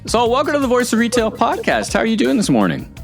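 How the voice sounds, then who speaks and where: Animated podcast host, man. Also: extremely high pitch, fast talker, creaky, soft background music. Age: middle-aged. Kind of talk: scripted podcast intro and conversational interviewing.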